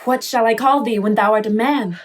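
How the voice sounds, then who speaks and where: A woman's voice: a little high, a little fast, natural voice. A woman playing a devoted, resolute young noblewoman in a Shakespearean radio play, with earnest formal delivery.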